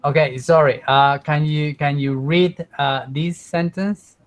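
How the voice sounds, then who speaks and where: Man, online English teacher, slow, patient, repeats himself, laptop mic. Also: fairly high pitch, steady tone, highs cut off. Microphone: computer mic on a video call.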